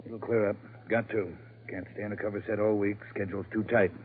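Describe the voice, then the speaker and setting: An actor playing a brusque, uneasy, rich married Hollywood producer in an old radio drama, speaking in clipped short phrases. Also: male voice, fairly low pitch, flat delivery, a little fast, natural voice. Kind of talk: 1940s-50s radio drama acting. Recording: muffled, faintly noisy old radio recording.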